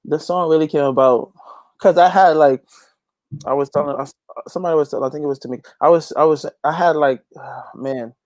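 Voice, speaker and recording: Man chatting casually, halting, close dry sound. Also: fairly high pitch, darkish tone, dry recording. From Guyana. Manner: informal, halting, repeats phrases as he thinks. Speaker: interview guest, gospel reggae artist and youth pastor. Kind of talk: casual interview chat.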